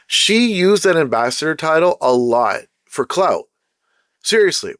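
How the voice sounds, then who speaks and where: Man, vlog host, emphatic ranting, hoarse, higher-pitched, dry. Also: sing-song, a little slow, a bit bright, dry recording. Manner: blunt, emphatic, repeats points. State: losing his voice, throat-clearing. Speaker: channel host. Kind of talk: casual ranting commentary.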